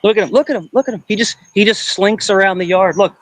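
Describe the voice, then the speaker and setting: Male casual streamer, pitched a bit high, excitable delivery with lots of repeated phrases. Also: fast talker, rough recording. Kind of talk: casual livestream chat.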